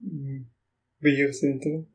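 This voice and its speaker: A man whose first language is Afrikaans gives a casual walkthrough of software while recording his screen, thinking aloud in halting phrases. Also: medium pitch, flat delivery. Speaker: Linux software reviewer.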